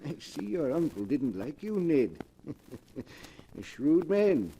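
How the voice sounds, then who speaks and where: Sly professor, very mellow. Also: male voice, on the high side, big pitch swings, takes it slowly. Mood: sly, knowing. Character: sly, suave little professor, a male con-man scoundrel. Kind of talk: 1940s radio acting. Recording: muffled, noisy vintage radio recording.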